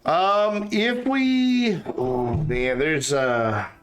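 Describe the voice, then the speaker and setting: A man's voice: fairly high pitch, fairly even intonation, extremely slow, smooth, muffled recording. A tired man with a slightly scratchy throat, streaming a game and thinking aloud in casual chat with many fillers.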